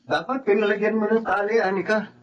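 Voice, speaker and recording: A man's voice: sits high, fairly even intonation, brisk, highs cut off, lightly acted. An actor playing a male coworker who teases a colleague with mocking jabs. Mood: teasing. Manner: needling, mocking.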